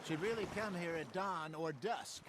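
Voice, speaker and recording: A man's voice: a little high, normal speed, natural voice. An actor playing a wry older male outlaw in a western game, a folksy, teasing fisherman and storyteller. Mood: encouraging. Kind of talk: cinematic western game acting. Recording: clear outdoor ambience noise behind.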